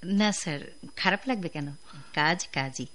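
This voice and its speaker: A woman's voice: on the low side, a little slow, a bit bright. An actress playing a devoted, dutiful young working woman in a radio drama, with an earnest, caring and firm manner. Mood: polite, earnest. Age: young adult.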